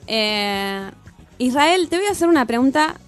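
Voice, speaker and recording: A woman's voice: high voice, expressive, measured pace, faint music behind. A woman with an Argentine accent co-hosting a radio chat, playful and flirty in her questions. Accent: Argentine Spanish. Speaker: radio co-host. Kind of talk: casual radio interview.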